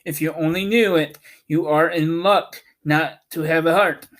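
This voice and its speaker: Reader playing a humbug wizard, little man, meek, kindly, apologetic, slow, storybook character. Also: mid-range voice, steady tone, close to an everyday voice. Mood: measured.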